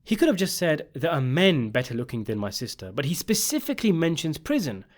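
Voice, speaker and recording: Man narrating commentary with lively, swinging pitch. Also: fairly quick. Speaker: video essay narrator. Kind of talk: scripted opinion commentary on a TV drama.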